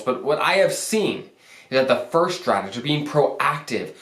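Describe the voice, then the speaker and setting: A young man talks casually to his viewers, upbeat and chatty, his voice pushed deeper than usual by a lingering cold. His pitch sits in the middle for a man. The sound carries the ring of an echoey room. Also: a bit bright. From Arizona, US. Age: young adult. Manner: upbeat, conversational, self-joking. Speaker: financial planner hosting a channel. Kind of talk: casual advice talk to camera.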